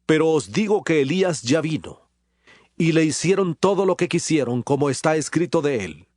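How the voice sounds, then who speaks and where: A man's voice: a little high, lively intonation, normal speed, a little mellow, no room sound, clean studio sound. A man playing an authoritative, solemn male teacher in a dramatized scripture reading, declaiming with emphasis. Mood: solemn, assured.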